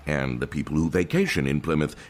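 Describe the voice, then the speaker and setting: An actor playing a stately, skeptical older theater owner in an audio drama, formal and patronizing. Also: male voice, a bass voice, big pitch swings, fast. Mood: businesslike, skeptical.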